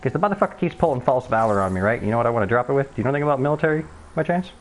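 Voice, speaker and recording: A man with a high-pitched voice, talking very fast and swearing freely as he chats during a live game stream. He stumbles and restarts his sentences, pressing his points hard. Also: animated. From Canada. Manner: profane, emphatic, often restarting sentences. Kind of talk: rambling voice chat.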